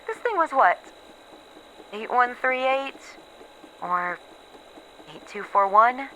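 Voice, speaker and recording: A woman playing a despairing, bitter researcher in a horror roleplay log, halting and wry as she faces her doom. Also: on the high side, expressive, a bit bright, poor audio. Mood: bitter, anxious. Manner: halting, wry, self-mocking, sighing. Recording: faint background noise.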